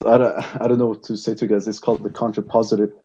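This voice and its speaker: A young man in a casual online debate, speaking in a halting, defensive manner over a voice call. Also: on the low side, level tone, mellow, breathy edge, highs cut off. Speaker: debate participant.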